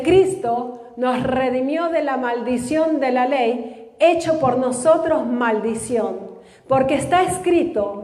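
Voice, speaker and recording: A woman pastor teaching a live church sermon. She reads scripture slowly and has the congregation repeat after her. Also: high voice, a little mellow. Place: church in a large hall. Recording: echoey church hall with long reverb.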